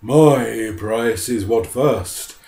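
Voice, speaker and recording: A man's voice: warm tone, roomy sound. A man voicing a huge, menacing talking bear in a storybook reading, drawn out and growling. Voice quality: slow, booming, growling.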